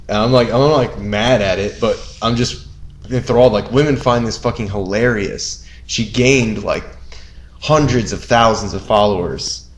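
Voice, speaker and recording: A man in his thirties co-hosting a podcast, telling rambling, profane stories in casual chat. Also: lowish voice. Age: 30s. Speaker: podcast co-host. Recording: echoey room with faint hiss.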